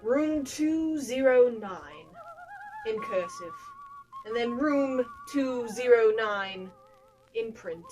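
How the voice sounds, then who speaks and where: Theatrical high-pitched young woman. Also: darkish tone, poor audio. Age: young adult. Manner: theatrical, deliberately hammy, drawn-out emphasis. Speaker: video creator presenting to subscribers. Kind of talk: mock-dramatic reading, comedic vlog.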